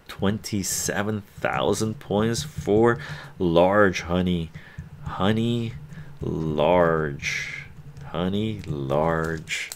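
Older male streamer, low gravelly voice, chatty auctioneer who repeats himself. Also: a little hiss or hum. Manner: chatty, laughs often, repeats phrases. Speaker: streamer running a live auction. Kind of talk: casual live-stream auction chat. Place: sunny room full of plants, indoors.

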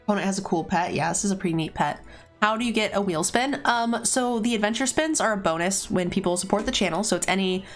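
A woman live-streaming a card game, a little raspy as she is losing her voice, chatting casually and answering viewers' questions between plays. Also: mid-range voice, quick pace, soft background music.

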